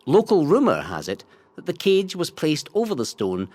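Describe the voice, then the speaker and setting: A man reading scripted historical narration in a measured, dramatic storytelling way. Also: fairly high pitch, huge pitch swings, a little mellow. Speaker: narrator of a local history audio guide. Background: music.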